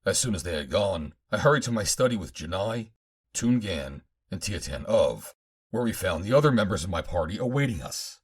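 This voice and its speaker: A man's voice: sits low, sing-song, moderate pace, slightly rough. A man narrating a pulp adventure audiobook in the first person, in dramatic fashion.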